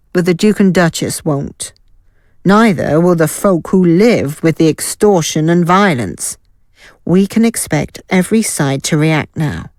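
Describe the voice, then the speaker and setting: A woman's voice: lowish voice, darkish tone, dry recording, studio quality, natural voice. A woman playing an assured, wry guild fighter in a fantasy audio drama. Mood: resolute. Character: Ilaeda, confident wry female guild fighter. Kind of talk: scripted audio drama acting.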